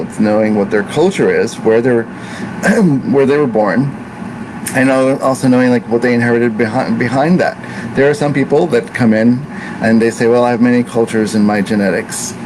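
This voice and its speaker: An American-born man whose first language is Italian, teaching Ayurveda in an unhurried, reflective way with many fillers and restarts. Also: medium pitch. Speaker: Ayurveda practitioner. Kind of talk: informal teaching talk. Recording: noisy room with air conditioning hum.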